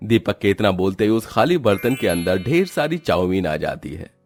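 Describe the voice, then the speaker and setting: A man narrating a scripted animated moral tale as its storyteller. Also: on the low side, moderate pace, faint music behind.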